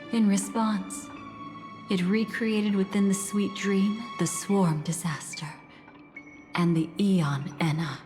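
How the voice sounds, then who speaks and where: An actress playing a calm, mysterious woman who guides the listener through past memories in a composed, reflective way. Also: fairly low pitch. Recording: reverberant game audio with soft music.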